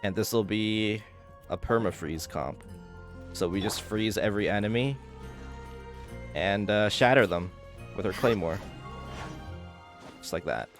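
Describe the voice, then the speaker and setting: Young male gamer, quite low voice, low-key casual commentary, thinking aloud, game music in background. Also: unhurried, long pauses. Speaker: gaming video creator.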